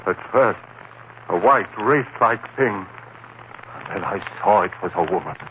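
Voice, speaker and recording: A man playing a terrified condemned prisoner recounting his nightmare in halting, anguished phrases, in the style of 1940s radio horror. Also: on the low side, lively intonation, bright, forceful tone, dull audio. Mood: horrified fascination. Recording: noisy, band-limited old radio recording.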